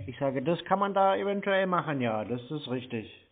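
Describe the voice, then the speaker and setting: A man's voice: on the high side, lively intonation, moderate pace. A man in a casual phone conversation, answering in a dry, unruffled, needling way. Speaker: scam-baiting call recipient. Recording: narrow, tinny phone-like sound.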